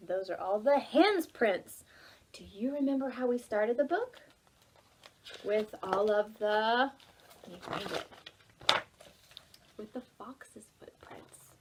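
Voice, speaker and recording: Female children's storytime reader, very lively intonation, unhurried delivery, playful and talking straight to little ones. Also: on the high side, slight background noise. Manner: expressive, playful, speaking directly to young children. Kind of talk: animated preschool storytime read-aloud.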